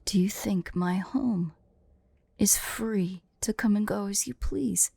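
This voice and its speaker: A woman voicing a haughty, vain, teasing female dragon who is secretly fond, in an intimate, breathy, imperious ASMR roleplay style. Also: medium pitch, a little mellow, no room sound, exaggerated voice. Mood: imperious, irritated. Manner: slow, imperious, playful, breathy near-whispers at times.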